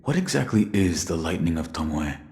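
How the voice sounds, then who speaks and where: Game role: dutiful ninja of few words, very low and solemn, heard with long reverb. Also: male voice, very animated, brisk, vocal fry, a little mellow, exaggerated voice. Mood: terse questioning. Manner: terse, solemn, restrained. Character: stoic, dutiful male shinobi warrior of few words. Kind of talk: dramatic video game voice acting. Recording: long reverberant hall-like echo.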